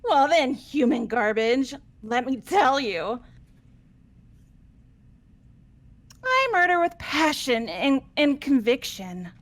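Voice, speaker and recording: A woman's voice: sits high, sing-song, unhurried. A woman voicing a manic, flamboyant young female serial killer in a game, gleefully boastful, with theatrical, taunting delivery. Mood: gleeful menace. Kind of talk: amateur game voice acting.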